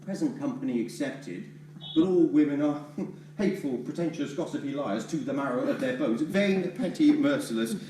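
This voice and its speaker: Man as loud rude bill collector, sarcastic and ranting, lively swinging voice, echoey hall, mic far off. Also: on the high side, moderate pace, slight background noise, close to an everyday voice. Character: blustering rude male debt collector. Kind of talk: stage play acting.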